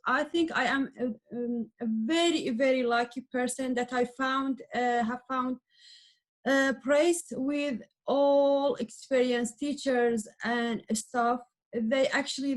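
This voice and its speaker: Halting middle-aged woman, Arabic-speaking. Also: high voice. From Iran. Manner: halting, searching for words, many restarts. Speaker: adult learner guest. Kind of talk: interview answers.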